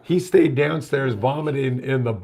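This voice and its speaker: A man's voice: mid-range voice, moderate pace, vocal fry, a little mellow. A middle-aged man hosting a live show, telling stories in a casual, chatty way and reading out viewer questions. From United States. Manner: chatty storyteller, reads viewer questions aloud. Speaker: show host. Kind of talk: casual live-stream chat.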